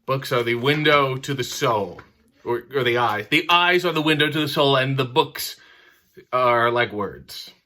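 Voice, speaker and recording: A man's voice: mid-range voice, measured pace, sharp tone. A man hosting a picture book reading, breaking off into wry, mock-critical commentary. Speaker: storyteller host.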